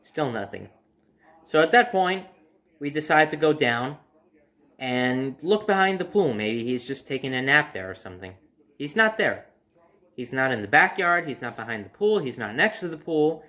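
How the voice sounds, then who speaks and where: A young man tells a personal story in a casual, conversational way. His voice sits a little high for a man and moves up and down with lively swings. He leans on key phrases for emphasis. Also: normal speed. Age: young adult. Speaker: storyteller and host. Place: indoor room by a window at home.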